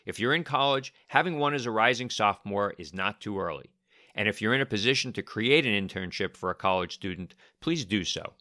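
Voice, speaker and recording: Bright, lowish-voiced male podcast host. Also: dry recording, clean studio sound. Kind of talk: conversational podcast hosting.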